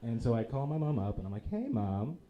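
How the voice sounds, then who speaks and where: A man's voice: brisk, narrow, tinny audio. Self-deprecating stand-up comic man. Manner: self-deprecating, lively delivery with drops into low deadpan for punchlines. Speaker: stand-up comedian. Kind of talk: stand-up comedy set. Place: small comedy theater stage. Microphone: handheld microphone. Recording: live club recording with audible background noise. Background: audience laughter.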